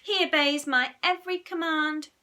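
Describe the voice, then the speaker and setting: Mischievous young boy, acted by a woman, bossy, boastful, raised pitch, bright forceful tone, children's storybook. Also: moderate pace. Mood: cocky. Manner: boastful, commanding.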